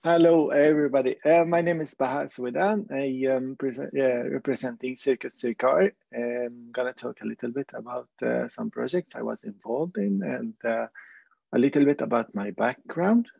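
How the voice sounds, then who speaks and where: Gravelly man on a dull dry line. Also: mid-range voice, normal speed, darkish tone, dry recording. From Palestine. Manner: hesitant, many fillers. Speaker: panel speaker, circus clown. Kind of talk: panel talk.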